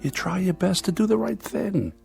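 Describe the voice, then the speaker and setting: An actor playing a blunt, practical but concerned husband in a radio drama, reasoning and persuasive. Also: male voice, high-pitched, lively intonation, normal speed, creaky, darkish tone, full character voice. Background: music.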